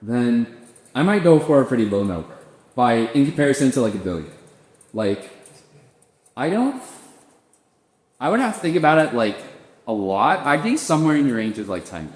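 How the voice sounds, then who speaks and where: A young man hosting a podcast, thinking aloud in relaxed casual chat with lots of fillers. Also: mid-range voice, normal speed, long pauses. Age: young adult. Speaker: podcast host. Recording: echoey room with long reverb.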